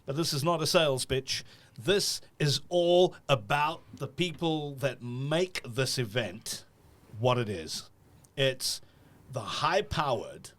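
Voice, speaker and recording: A man from South Africa hosting an interview podcast, upbeat and playful, repeating words when he gets going. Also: a little high. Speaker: podcast host.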